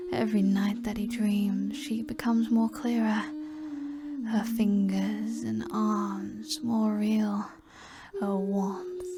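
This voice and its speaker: A British woman narrating a scripted ASMR story in a breathy whisper. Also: medium pitch, noisy background.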